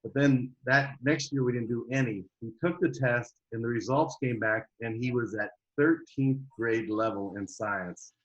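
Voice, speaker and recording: Middle-aged male interview guest, mid-pitched, animated conversational storyteller. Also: normal speed, hardly any pauses, highs cut off. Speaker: guest, homeschooling parent.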